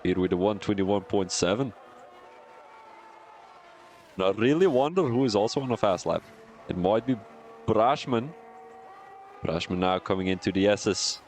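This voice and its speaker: A young man with a Belgian accent doing live commentary on a sim racing stream, excitable and chatty, laughing and rambling between calls. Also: lowish voice, moderate pace, long pauses, a little hiss or hum.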